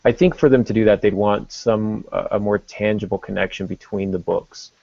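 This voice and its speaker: A man's voice: lowish voice, steady tone, moderate pace, vocal fry, darkish tone, no room sound. A man co-hosting a casual podcast discussion, explaining things as he thinks them through. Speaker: podcast co-host. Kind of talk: casual discussion of fiction writing. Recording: dull lo-fi podcast audio.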